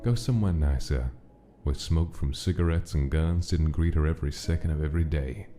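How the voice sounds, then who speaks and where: A man's voice: a bass voice, a little fast, mellow. A man reading scripted story narration as the narrator of a drama. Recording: music clearly under the narration.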